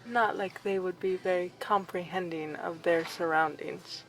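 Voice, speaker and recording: A woman's voice: fairly low pitch, measured pace, low-quality recording. A woman playing a young noble vampire princess in an ASMR roleplay, affectionate and formal in her wording. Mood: conspiratorial. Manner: formal, expressive, aristocratic wording.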